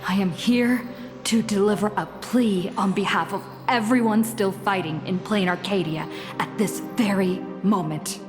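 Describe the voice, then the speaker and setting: A woman's voice: mid-range voice, moderate pace, natural voice. An actress playing an earnest, formal young female envoy in a video game. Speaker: game character. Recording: long reverb over music.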